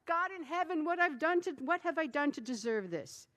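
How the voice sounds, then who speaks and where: A woman voicing a shrill, scolding middle-aged village wife who wails and shouts. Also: expressive, moderate pace. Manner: loud, scolding, wailing.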